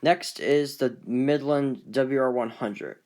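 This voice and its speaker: A man with a mid-pitched voice casually shows off a collection. He speaks off the cuff and haltingly. His phrases often trail away. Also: very noisy. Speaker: collector presenting weather radios. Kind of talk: casual unscripted show-and-tell.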